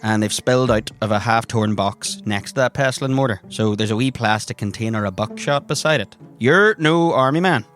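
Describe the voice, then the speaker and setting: A man's voice: medium pitch, brisk, hardly any pauses, fairly bright tone. A man working as game master, narrating scenes descriptively for a tabletop role-playing game.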